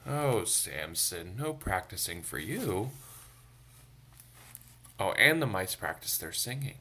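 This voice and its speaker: A man's voice: sits low, very animated, slow, sharp tone. A man reading a picture book aloud to children, expressively, with chatty asides to his young listeners. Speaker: storytime reader for a church. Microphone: phone.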